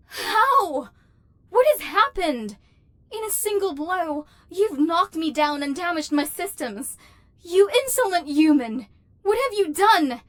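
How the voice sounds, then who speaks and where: A woman's voice: very high-pitched, a bit bright, no echo, full character voice. A woman voicing an imperious robot overlord who despises humans, speaking in formal, machine-like phrases in an ASMR roleplay. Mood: shocked, outraged. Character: haughty, human-hating female robot overlord, secretly lonely. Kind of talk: ASMR roleplay, dramatic villain monologue.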